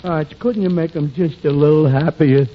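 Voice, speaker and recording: An actor playing a slow-witted, good-natured, easily confused man in a 1940s radio comedy, with a dopey, hesitant delivery. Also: on the high side, natural voice. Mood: simple, hesitant. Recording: muffled, very noisy old radio recording.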